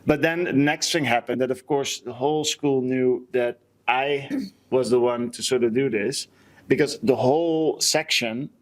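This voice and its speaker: A man's voice: medium pitch, a little slow. Rambling male podcaster. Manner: rambling, self-correcting. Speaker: podcast co-host. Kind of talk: casual chat, personal storytelling.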